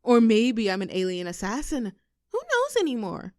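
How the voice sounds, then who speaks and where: A woman's voice: fairly high pitch, wildly swooping pitch, no echo, clean studio sound. A woman playing an affectionate, teasing girlfriend with a vulnerable streak in an intimate roleplay audio drama. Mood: playful, teasing. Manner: teasing, halting when earnest.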